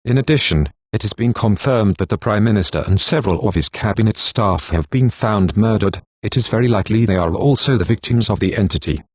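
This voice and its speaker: A man as a deep, formal bulletin reader. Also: brisk, few pauses, husky, dry recording. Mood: formal, grave. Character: male news correspondent and emergency alert announcer, formal. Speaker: correspondent and alert announcer. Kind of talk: scripted emergency broadcast.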